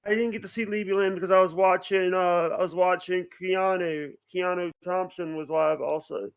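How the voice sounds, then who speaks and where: Male podcast guest, high-pitched, hesitant delivery with repeated phrases and frequent apologies, informal chat. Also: steady tone, moderate pace, gravelly, clean tone. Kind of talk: casual chat.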